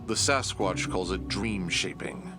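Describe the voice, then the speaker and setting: A cunning villain played by a man with a very low voice, forced into a reluctant alliance. He speaks in measured, formal sentences, proud and condescending, with quiet menace, as in an audio drama. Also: loud music behind the voice. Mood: measured, superior.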